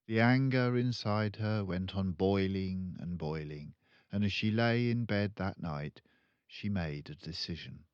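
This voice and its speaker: An older man with a British accent reading a children's story aloud as an expressive narrator. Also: low-pitched, measured pace, smooth, no echo, muffled recording.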